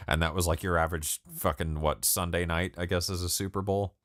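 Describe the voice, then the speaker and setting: A young man with a very low voice rambles through loose, profane chat on a live stream. He laughs often, and his voice sounds dry and close to the mic with no room around it. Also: steady tone, normal speed, a bit bright, no room sound. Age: 20s. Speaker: music producer streaming live. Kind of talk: casual livestream chat with viewers.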